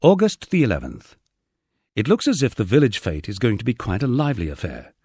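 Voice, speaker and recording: A man narrating a first-person memoir as an audiobook, reading in a measured, expressive storytelling manner. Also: mid-range voice, slightly rough, dry recording.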